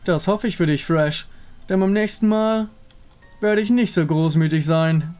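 Ein Mann spricht einen hochmütigen, bedrohlichen untoten König in einem Fantasyspiel, herrisch und pathetisch. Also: male voice, high voice, a little slow, darkish tone, clear, resonant tone, soft background music, voice pushed up. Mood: imperious. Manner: grandiose, imperious. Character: haughty menacing undead king, grandiose and lovesick.

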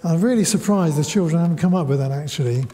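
A middle-aged man with a fairly high but soft, mellow voice addresses a church congregation informally. He talks conversationally, with some hesitation, and the large hall rings around him. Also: fast talker. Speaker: church service leader. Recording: echoey church hall with background noise.

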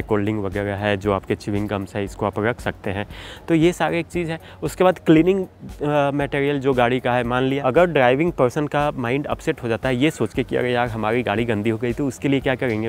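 Male car vlogger, mid pitch, chatty and explanatory, loose filler words, faint ambient noise. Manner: conversational, frequent "you know" and "okay" fillers, mixes English words into Hindi. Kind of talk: casual explanatory vlog talk.

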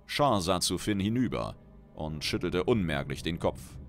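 A man's voice: sits low, animated, measured pace. A man reading a fantasy audiobook as a dramatic scripted narration. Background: background music.

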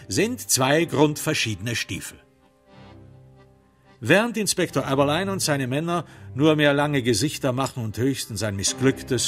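A man's voice: medium pitch, fairly bright tone. A man narrating a literary crime radio play in a sardonic, theatrical storytelling manner.

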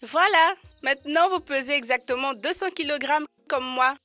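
A woman's voice: sits high, moderate pace, sharp tone, no echo, faint music behind. A woman voicing a small, friendly, earnest young elephant in an educational cartoon.

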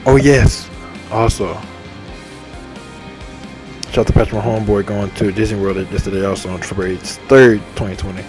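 Young man in his twenties, low voice, upbeat rambling gamer talk, faint game music under it. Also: steady tone, moderate pace, pauses often. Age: 20s. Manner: repetitive, upbeat, enthusiastic shout-outs. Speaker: gaming channel host. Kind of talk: rambling casual monologue over game menu. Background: faint game menu music.

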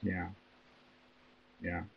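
A man from Ireland with a Southern American accent, presenting a webinar in a conversational way with frequent restarts. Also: very slow. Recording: muffled online call audio.

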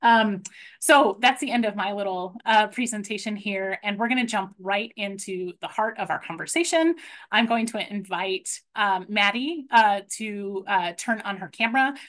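A middle-aged woman runs a webinar from her home office over a video call. She talks in a relaxed, chatty way, and her voice sits at an ordinary mid pitch. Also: moderate pace. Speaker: webinar presenter. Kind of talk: casual presentation. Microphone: video call webcam.

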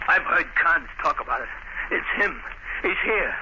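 A man's voice: on the high side, lively intonation, moderate pace, lightly acted. An actor playing a frightened, agitated criminal henchman in 1930s radio style. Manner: anxious, agitated. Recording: tinny, noisy 1930s radio recording.

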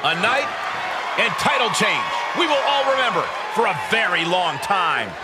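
A man voicing an excited pro wrestling play-by-play announcer with a dramatic, emphatic delivery. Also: sits high, moderate pace. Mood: grave, dramatic. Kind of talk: sports commentary. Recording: loud arena crowd, heavy noise. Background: roaring crowd.

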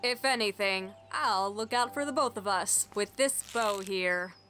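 A woman's voice: mid-range voice, measured pace, pushed and bright, faint music behind. A woman playing a caring, teasing young village archer and loyal friend in an audio drama. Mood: teasing, determined.

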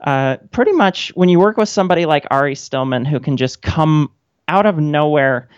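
A woman's voice: deep voice, animated, moderate pace, dry recording. Woman, thirties, wry casual interview guest, dry close sound, slightly muffled audio. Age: 30s. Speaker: radio guest, playwright. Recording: dull, band-limited audio.

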